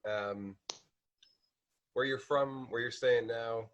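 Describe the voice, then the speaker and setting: A man hosting a casual interview who keeps the talk relaxed and often drops in short affirmations. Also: mid-range voice, takes it slowly. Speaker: interview host.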